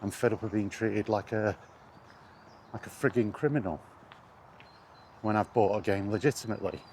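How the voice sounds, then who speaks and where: A man's voice: fairly low pitch, moderate pace, a little mellow, a little hiss or hum. A man ranting indignantly in a casual spoken vlog, recorded while walking outdoors. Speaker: gaming vlogger.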